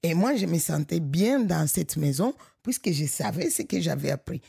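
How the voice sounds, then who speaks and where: A middle-aged woman from Congo, director of a local association, speaking in an animated, emphatic way with frequent restarts. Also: deep voice, moderate pace, vocal fry, breathy edge, no room sound, clean studio sound. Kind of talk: conversational podcast interview.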